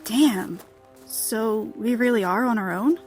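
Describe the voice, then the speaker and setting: An actress plays a frank junior field agent in an audio drama. Her voice is on the high side, and she talks directly, often questioning. Music plays clearly behind her. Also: takes it slowly, a little mellow.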